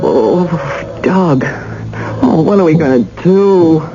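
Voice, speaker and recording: A woman playing an excitable, anxious young wife in a radio drama. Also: low-pitched, slow, heavily acted. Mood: ashamed, frightened. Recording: dull, hissy old radio recording.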